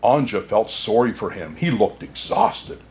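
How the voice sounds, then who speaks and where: Kindly old Santa played by a man for storybook reading; slow pace, very animated pitch. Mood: gentle storytelling. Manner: unhurried, chuckling asides, expressive storytelling.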